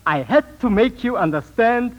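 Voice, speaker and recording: An actor in a 1940s radio drama playing a sinister, bitter small-time swindler and phony psychic, with formal, theatrical diction. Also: male voice, extremely high pitch, expressive, moderate pace. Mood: bitter, grandiose. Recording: muffled 1940s radio recording.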